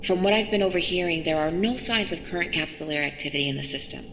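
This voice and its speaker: An earnest female field reporter aboard a starship, acted by a woman, low in pitch. She speaks her sci-fi log reports in a reverberant space with clear ambient noise. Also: fast, sharp tone, muffled recording. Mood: measured, earnest. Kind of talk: sci-fi audio drama, recorded log reports. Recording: echoey hall with noisy ship ambience.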